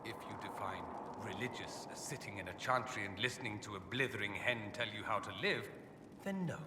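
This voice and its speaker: A man's voice: lowish voice, lively intonation, a little fast, recorded from a distance, close to an everyday voice. Man doing a haughty witty fantasy mage for a game, arch and sardonic, really swoopy delivery, big echoey room w/ noise behind. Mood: reflective, sincere. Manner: suave, sardonic, theatrically arch. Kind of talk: video game character dialogue. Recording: echoey hall, clear background ambience noise.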